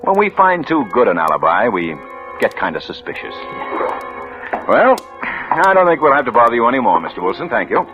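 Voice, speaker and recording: An actor playing a sharp, alert young police detective who questions a suspect in a 1940s radio drama, coolly probing and insinuating. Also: male voice, mid-range voice, big pitch swings, fairly quick, music in the background, close to an everyday voice. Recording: dull old radio broadcast.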